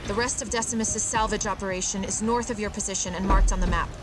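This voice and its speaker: A woman acts as an earnest survivor briefing troops in a military video game. Her voice sits at a middle pitch, crisp and urgent. Loud music runs behind it. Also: steady tone, quick pace, close to an everyday voice. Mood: grim resolve. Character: earnest female outpost survivor briefing soldiers, bitter toward enemy. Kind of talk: video game drama acting.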